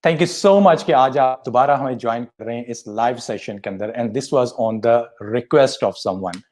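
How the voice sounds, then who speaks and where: A man's voice: mid-range voice, darkish tone. A man, hosting a live career talk show from an office and mixing with English. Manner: rapid, switches between Urdu and English. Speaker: host. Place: office with big windows, indoors.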